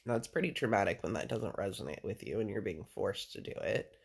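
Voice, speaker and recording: A man with a deep voice chatting casually and at length to a listener, rambling, full of fillers and casual swearing. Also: expressive, brisk, gravelly, breathy edge. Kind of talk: casual personal chat with a listener.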